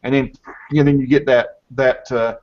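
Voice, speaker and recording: A man's voice: medium pitch, flat delivery, normal speed. A man in his fifties with a Southern US accent, hosting a casual video call chat and often repeating words as he restarts. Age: 50s. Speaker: host. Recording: dull lo-fi video call audio.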